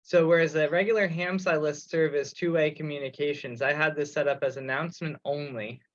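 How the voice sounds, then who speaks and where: Higher-voiced man on a dull conference call. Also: fairly even intonation, brisk. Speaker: project organizer on a committee call. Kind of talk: casual meeting discussion. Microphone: remote teleconference call.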